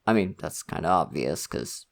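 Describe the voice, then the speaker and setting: A man's voice: deep voice, lively intonation, moderate pace, creaky. A young man voicing a friendly, slightly shy college student in an ASMR roleplay, talking casually and laughing often. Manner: casual, laughs often, soft-spoken and chatty.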